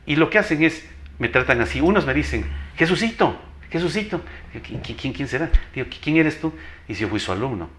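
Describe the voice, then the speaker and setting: A man's voice: fairly high pitch, lively intonation, brisk, a bit bright, slight background noise. An older man with an Ecuadorian accent and a strong, announcer-like voice, telling anecdotes as an interview guest, emphatically, repeating phrases and acting out dialogue. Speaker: interview guest, veteran teacher.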